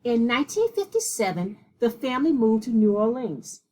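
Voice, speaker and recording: A woman with a slightly husky voice reading a children's picture book aloud in a measured, deliberate way. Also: on the high side, big pitch swings, a little mellow. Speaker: read-aloud storyteller.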